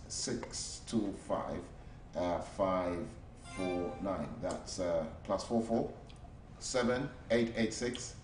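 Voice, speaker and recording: Brief: male call-in show host, halting and filler-heavy, heard from a distance in a roomy studio with faint hiss. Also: a little mellow. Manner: hesitant, many filler "uh"s, then booming greetings. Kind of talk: live call-in TV program.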